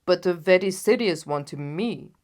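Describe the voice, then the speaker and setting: A woman's voice: fairly low pitch, lively intonation, moderate pace, darkish tone, natural voice. A woman voicing a haughty, anxious king in a storybook, speaking in a solemn and emphatic way. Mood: reproachful. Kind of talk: dramatised audiobook reading.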